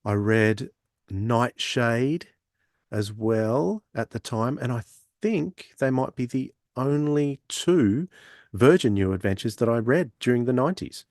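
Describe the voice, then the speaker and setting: A man's voice: medium pitch, slow, darkish tone, no room sound, studio quality. A man hosting a fan podcast in a reflective, conversational style. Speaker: podcast host. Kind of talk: reflective casual fan chat.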